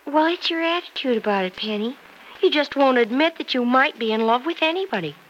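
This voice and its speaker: An actress playing a romantic young married confidante, gently probing and playful, in old-time radio soap style. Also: female voice, sits high, expressive, brisk, lo-fi sound. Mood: candid. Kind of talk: 1940s-50s radio soap acting. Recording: faintly noisy old radio transcription.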